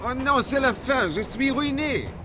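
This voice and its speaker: An actor playing an anxious manservant in a radio play, flustered and deferential. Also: male voice, extremely high pitch, animated, moderate pace, noisy background. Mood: panicked, wailing. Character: Passepartout, excitable, anxious French manservant.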